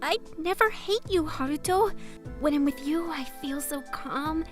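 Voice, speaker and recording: A woman playing a proud, kind high school girl from a poor family in a manga dub. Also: unhurried, music in the background. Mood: tender.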